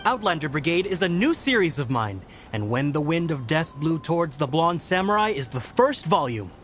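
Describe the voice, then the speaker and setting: A man's voice: a little high, very animated, moderate pace, a bit bright, a little hiss or hum. A man playing a proud, melodramatic novelist in a video game, speaking in a pompous, theatrical manner. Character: proud, melodramatic young male novelist selling his books. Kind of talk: video game character acting.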